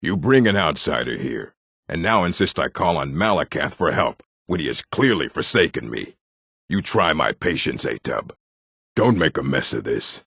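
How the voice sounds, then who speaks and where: Very deep, gravelly orc chieftain. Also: male voice, fairly bright tone, dry recording, clean studio sound, full character voice. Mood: irritated. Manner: terse, scornful, commanding. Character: gruff, weary, proud orc chieftain, bitter and domineering. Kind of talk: fantasy video game character acting.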